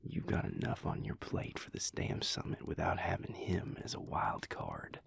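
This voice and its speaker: A man voicing an easygoing cowboy vampire in an audio roleplay, blunt and steady, talking close to the mic. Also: sits low, normal speed, creaky, husky, in a whisper, heavily acted. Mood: frank. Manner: laid-back, frank, calming.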